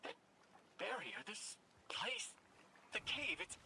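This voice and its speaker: An anxious little robot companion from a video game, devoted and fretful. The voice is high and creaky and jumps about in pitch. Clear noise sits behind it. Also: male voice, wildly swooping pitch, sharp tone, full character voice. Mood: distressed. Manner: halting, fretful, pleading.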